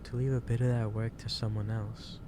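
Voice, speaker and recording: A man playing a gentle, caring office boss in a soft-spoken ASMR roleplay, talking in a halting, reassuring way. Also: fairly low pitch, flat delivery, lightly acted. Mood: gently concerned. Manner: halting, reassuring, unhurried delivery. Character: gentle, caring male office boss lecturing an overworked employee. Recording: clearly noisy background ambience.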